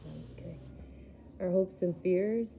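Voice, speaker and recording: A woman's voice: lowish voice, moderate pace, gravelly, mellow, a little hiss or hum. A woman giving a casual, chatty tarot reading, rambling and laughing at herself, a little groggy after a poor night's sleep. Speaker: tarot reader.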